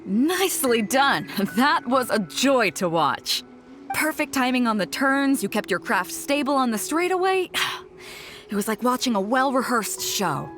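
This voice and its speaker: A woman's voice: fairly high pitch, big pitch swings, measured pace, fairly bright tone, faint music behind. A woman voicing a bold, confident captain of an armed pirate fleet in a video game, with a commanding, teasing manner. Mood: pleased. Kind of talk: video game character acting.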